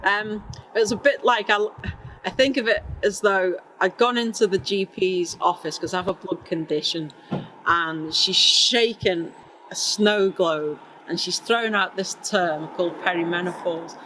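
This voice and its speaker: Woman in her forties, bright voice, frank and wry, faint traffic noise behind. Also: lowish voice, unhurried, low-quality recording. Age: 40s. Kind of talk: personal testimony.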